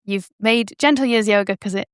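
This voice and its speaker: A woman's voice: medium pitch, a little fast, clear, resonant tone, no room sound, clean studio sound. A woman hosting a research podcast, bubbly and encouraging, often laughing as she leads the conversation. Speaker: podcast host. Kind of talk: podcast interview.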